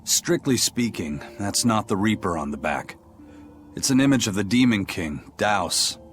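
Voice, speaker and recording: A man playing a gruff, worldly male spirit pirate in a video game, terse and matter-of-fact. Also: lowish voice, expressive, a little slow. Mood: knowing. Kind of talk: video game cutscene acting.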